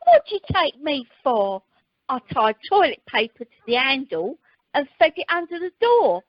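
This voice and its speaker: Brash, vain wife played by a woman, high and swooping, radio comedy, noisy clicking phone line. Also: big pitch swings, moderate pace, dry recording. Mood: unabashed. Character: brash, dim, vain nouveau-riche wife. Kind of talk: amateur radio comedy acting.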